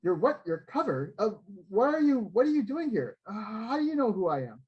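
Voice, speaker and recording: A man's voice: high-pitched, sing-song, fairly quick, breathy edge, dull audio, voice pushed up, heavily acted. A man doing a flustered, stammering young fan.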